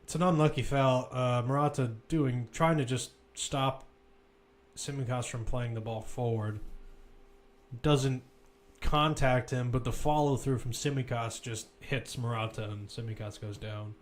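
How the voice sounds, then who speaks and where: Male football commentator, mid-pitched, live running play-by-play with off-the-cuff asides and corrections. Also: fairly even intonation, unhurried.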